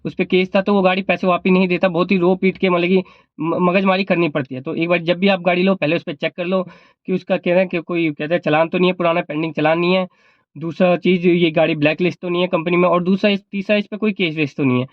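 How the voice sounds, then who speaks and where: High-pitched, fast-talking man with a sore throat. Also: steady tone, dry recording, dull audio. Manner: explanatory, repeats points. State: sore throat, not speaking at full volume. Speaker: vlogger and cab driver. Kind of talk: informal advice vlog talk.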